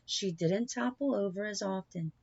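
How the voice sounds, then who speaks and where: A woman reading a children's picture book aloud in an expressive, deliberate storytelling manner, with asides to young listeners. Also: medium pitch, gravelly, breathy edge, dull audio. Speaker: storybook reader.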